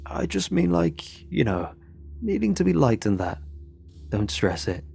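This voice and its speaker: A man's voice: on the low side, sing-song, a little slow, vocal fry, darkish tone, husky, faint music behind, heavily acted. Man acting out a matey, slurring British drunk. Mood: drunk, friendly. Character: cheerful drunken British man, blokey and boastful.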